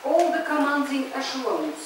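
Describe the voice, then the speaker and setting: A woman giving a lecture in short measured sentences, pausing after each one, recorded from far off in a lecture hall. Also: sits high, sing-song, background noise. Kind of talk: lecture delivered in short phrases for an interpreter. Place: lecture hall at a business school.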